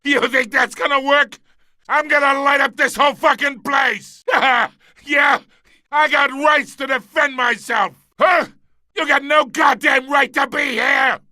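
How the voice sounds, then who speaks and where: An actor playing an older, blustering crime boss in a video game, coarse and swaggering, with a gravelly voice. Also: male voice, extremely high pitch, steady tone, measured pace, bright, forceful tone, no room sound, shouting, heavily acted. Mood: furious.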